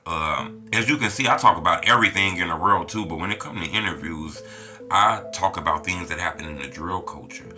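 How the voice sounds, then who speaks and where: A man's voice: low-pitched, brisk. An American man hosting a street-culture interview channel, speaking in casual, conversational slang. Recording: music behind the voice.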